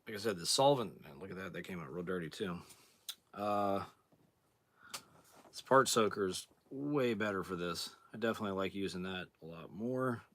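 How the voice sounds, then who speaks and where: Deep-voiced man host, hoarse, laid-back workshop demo. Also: normal speed. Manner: relaxed, plain-spoken, thinking aloud while working. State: hoarse, clearing throat. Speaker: outdoors channel host. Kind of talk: casual hands-on demonstration.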